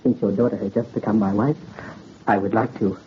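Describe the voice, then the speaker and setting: A man's voice: fairly low pitch, steady tone, brisk, close to an everyday voice. A man playing an ardent young noble bridegroom in a 1930s radio drama, earnest and melodramatic. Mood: tender. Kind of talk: 1930s radio romantic acting. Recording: muffled old radio recording, faint noise.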